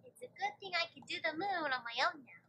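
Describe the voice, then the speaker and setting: A woman's voice: very high-pitched, lively intonation, fast talker, sharp tone, slight background noise, full character voice. A woman voicing an anxious, caring young pony princess, emotional, in fan cartoon style. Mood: worried.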